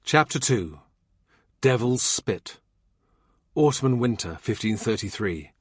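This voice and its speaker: A male narrator reads literary historical fiction at a medium pitch. His phrasing is measured and deliberate. The recording is very dry and close. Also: animated, slightly rough, no room sound. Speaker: audiobook narrator.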